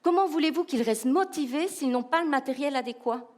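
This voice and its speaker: Lively Belgian woman giving a keynote. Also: high-pitched, fairly quick. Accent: Belgian French. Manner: animated, humorous, rhetorical questions to the audience. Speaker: keynote speaker, professional coach.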